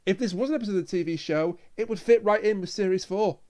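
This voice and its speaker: A man's voice: sits high, quick pace, mellow. A man co-hosting a casual review discussion, talking earnestly and repeating himself for emphasis. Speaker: podcast co-host.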